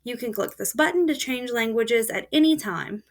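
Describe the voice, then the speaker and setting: A woman with a fairly high voice talks through a screen-recorded software tutorial. She explains each feature step by step in a steady, plain voice-over. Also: normal speed. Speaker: student presenter.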